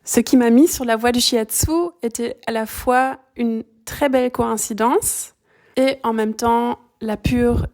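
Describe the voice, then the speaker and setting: A middle-aged woman from Belgium, a native German speaker, telling her story calmly and thoughtfully in a podcast interview. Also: fairly high pitch. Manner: calm, thoughtful, searching for words. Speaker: guest, shiatsu practitioner.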